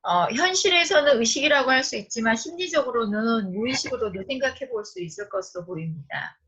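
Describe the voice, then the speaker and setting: A woman's voice: a little high, lively intonation, a little fast, a bit bright, breathy edge. A woman student giving a careful, polite and tentative answer in a class. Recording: muffled lo-fi class audio.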